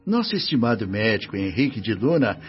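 An actor with a Brazilian accent playing a benevolent, authoritative spirit minister in a radio drama, speaking in a formal, instructive, measured manner. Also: male voice, fairly low pitch, big pitch swings, husky, exaggerated voice. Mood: kindly formal. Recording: dull radio recording, faint music.

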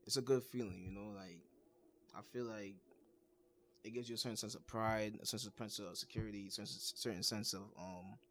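A young man with a Trinidadian accent talks in a low, gravelly voice with a bright, tense edge. His talk is casual and loose, full of "you know" fillers. A faint hum sits behind him. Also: steady tone, normal speed. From United States. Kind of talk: casual chat.

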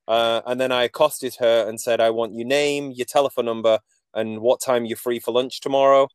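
A man's voice: medium pitch, steady tone, normal speed, clean tone, no echo. A man in his forties with a Manchester accent, a podcast guest telling stories in a jokey, self-deprecating way. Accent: Manchester English. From England. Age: 40s. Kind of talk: casual interview chat.

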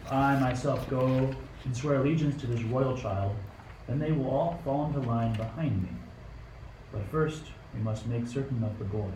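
A man's voice: mid-range voice, mellow, close to an everyday voice. Man acting a scheming old king, roomy and distant. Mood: courtly, solemn. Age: older. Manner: regal, theatrical, deliberate. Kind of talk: amateur radio play acting. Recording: roomy, distant mic with background hiss.

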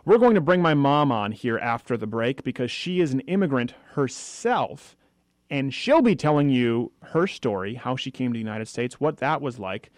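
A young adult man hosting a talk radio show from a studio. He talks in fast, argumentative bursts full of asides, and his middle-pitched voice sounds close and completely dry. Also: animated, a little mellow, no echo.

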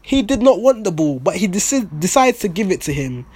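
A young man with a hoarse, raspy voice, talking through a casual post-match football reaction in an excitable, blunt way. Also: high-pitched, a little fast, dry recording. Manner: excitable, blunt, swears freely. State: hoarse, voice nearly gone. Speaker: football fan vlogger.

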